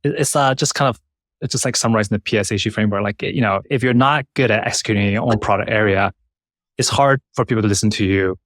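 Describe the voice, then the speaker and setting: A man's voice: lowish voice, fast talker, fairly bright tone, no echo, studio quality. A man hosting a casual interview, informally recapping points and checking in as he goes. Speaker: interviewer, show host.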